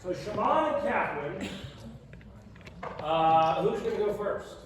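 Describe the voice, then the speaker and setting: Animated male coach in an echoey room. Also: high-pitched, slow pace. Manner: encouraging, repeats himself. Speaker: voice acting coach leading a workshop. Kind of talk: coaching feedback to a participant on stage. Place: large indoor convention session room. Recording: very echoey room, distant mic, loud noise.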